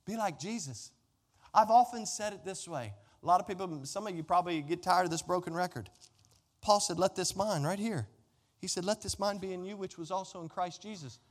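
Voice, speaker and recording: Male pastor preaching, pretty high voice, folksy and emphatic, big echoey hall. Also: a little fast. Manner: emphatic, repeats phrases, folksy direct address to the congregation. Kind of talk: sermon preaching. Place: large indoor room, preaching to a congregation. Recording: reverberant hall with long echo.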